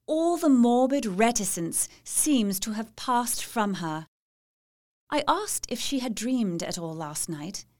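A woman's voice: a little high, lively intonation, slow, a little mellow. A woman playing a caring, watchful young Victorian woman reading out her journal thoughtfully in a gothic audio drama. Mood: fond, relieved.

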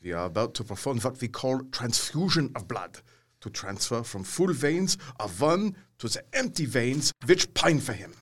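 Guy playing old doctor in audio drama, husky, very animated pitch, fatherly but bossy, odd foreign wording. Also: lightly acted. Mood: grave, urgent. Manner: deliberate, emphatic, quaintly foreign phrasing.

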